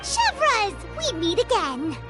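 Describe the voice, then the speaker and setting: A woman voicing a small, chirpy, curious fairy companion in an anime video game, with a squeaky childlike voice and exaggerated delivery. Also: huge pitch swings, takes it slowly, exaggerated voice. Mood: cheerful. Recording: music behind the voice. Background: game music.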